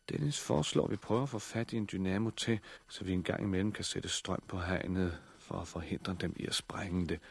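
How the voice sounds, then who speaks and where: An actor playing a weary, irritable survivor and family provider in a 1960s radio drama, husky-voiced, terse and sardonic. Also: male voice, sits low.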